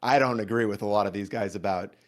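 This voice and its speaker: A man's voice: on the low side, quick pace. A man calling in as a guest for a casual, sweary chat, talking earnestly and emphatically in a rambling way.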